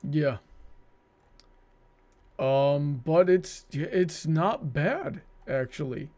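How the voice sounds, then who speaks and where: A man hosting a livestream in casual banter, often halting as he thinks aloud. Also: fairly high pitch, very animated, a little mellow. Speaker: livestream host.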